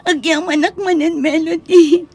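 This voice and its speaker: A woman's voice: extremely high pitch, steady tone, a little slow, a little mellow, soft background music. A woman voicing an emotional, grateful young woman in an Ilocano audio drama. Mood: tearful, grateful. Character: tearful grateful young woman helped through school. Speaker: voice actor.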